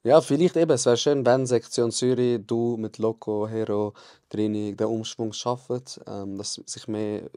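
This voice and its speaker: A man's voice: lowish voice, fairly even intonation, normal speed, warm tone, no echo, studio quality. A man hosting a podcast interview, talking in an encouraging, rambling way. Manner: encouraging, rambling, frequent mhm and sag ich mal. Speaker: podcast host.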